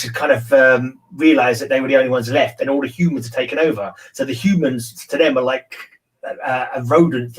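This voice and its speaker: A man's voice: medium pitch, poor audio. A man in a casual book discussion, rambling and thinking aloud as he repeats his phrases. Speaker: discussion panelist.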